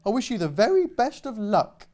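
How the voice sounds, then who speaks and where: A shrill, fussy hippo lady in a storybook, loud and swooping very high, as a man puts her on. Every phrase lurches up and down with theatrical fuss. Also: wildly swooping pitch, normal speed. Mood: grateful. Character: fussy female hippo who hates dirt.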